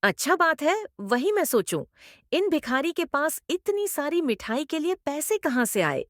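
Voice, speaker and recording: A woman's voice: high voice, sing-song, unhurried, dry recording, clean studio sound. A woman voicing a greedy, domineering middle-aged mother-in-law in a cartoon moral story, with an emphatic, theatrical delivery. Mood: scheming.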